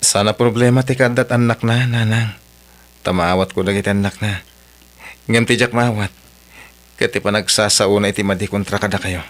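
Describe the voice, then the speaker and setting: A man acts out a devoted young man in an Ilocano radio drama. His voice sits on the low side, and he is a long-suffering lover and dutiful son with an Ilocano accent. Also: fairly even intonation, normal speed, long pauses, natural voice. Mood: firm, earnest.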